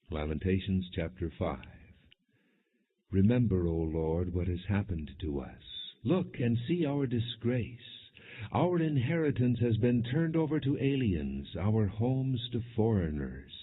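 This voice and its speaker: Through narrow, phone-like audio comes a man with a low, husky voice. He reads scripture as a solemn audiobook narrator. His phrasing is measured and deliberate, each verse set apart. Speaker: audiobook Bible reader.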